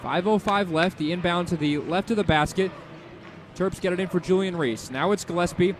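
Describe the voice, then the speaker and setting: An American man doing animated, excitable live radio play-by-play of a basketball game from an arena. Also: high-pitched, moderate pace. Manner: animated, excitable sportscaster delivery. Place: indoor basketball arena broadcast position. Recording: loud arena crowd noise behind voice.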